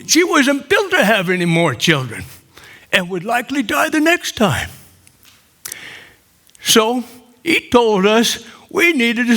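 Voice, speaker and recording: Man acting a high-voiced, slow old widower. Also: sharp tone, exaggerated voice. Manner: unhurried storytelling with pauses for laughs. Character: chatty, cheeky, tender elderly widower in his nineties. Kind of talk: theatrical comic monologue on stage.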